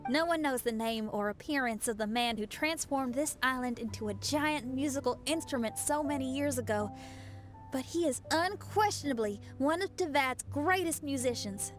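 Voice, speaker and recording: A woman's voice: high-pitched, normal speed, close to an everyday voice. A woman playing an upbeat, confident young rock musician in a video game, sincere and casual. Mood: excited, heartfelt. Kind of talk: video game character acting. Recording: faint music behind.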